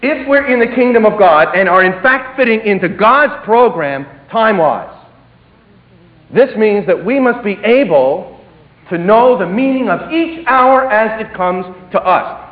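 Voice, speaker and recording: Male preacher, high and very animated voice, emphatic with sudden hushed drops, echoey hall. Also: unhurried. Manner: emphatic, dramatic swings between loud and hushed delivery. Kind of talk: sermon. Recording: echoey hall, tinny phone-like sound.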